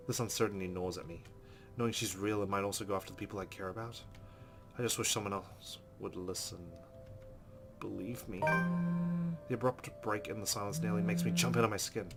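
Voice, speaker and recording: A man's voice: on the low side, fairly even intonation, fairly quick. A man doing a let's play, reading game narration aloud expressively and adding casual, informal asides. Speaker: let's player. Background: game music.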